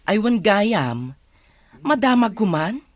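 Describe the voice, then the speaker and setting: A woman's voice: medium pitch, sing-song, no echo. A woman voicing a kind, earnest young male schoolteacher in a village radio drama, polite and concerned. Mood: polite, curious. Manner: polite, unhurried.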